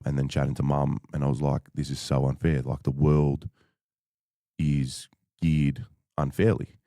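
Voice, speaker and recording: A man as a podcast guest, telling his story in a measured, thoughtful way with frequent fillers. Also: very deep voice, little pitch movement, mellow, no echo, studio quality. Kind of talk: reflective conversational storytelling.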